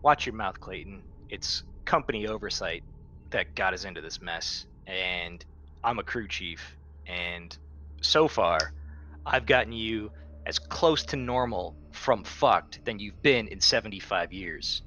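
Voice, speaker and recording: A man's voice: fairly low pitch, lively intonation, measured pace, bright, forceful tone. A man playing a tabletop roleplaying game, improvising in character in a casual, blunt and assertive way. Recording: clear background noise.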